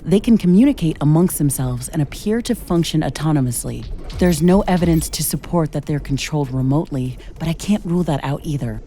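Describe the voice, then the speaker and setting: A woman's voice: low voice, expressive, fairly quick, warm tone, a little hiss or hum. A woman playing a determined scientist-commando in a video game, speaking in a composed, matter-of-fact, analytical way. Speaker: game protagonist. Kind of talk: scripted video game dialogue.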